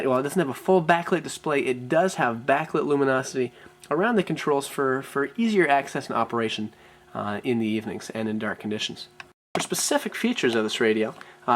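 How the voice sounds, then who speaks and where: A man with a mid-pitched voice walks through a product as a reviewer. He speaks off the cuff in a hesitant way, filling gaps with ums and restarting words as he explains. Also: animated, fast talker. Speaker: product reviewer, radio shop presenter. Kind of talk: unscripted product walkthrough.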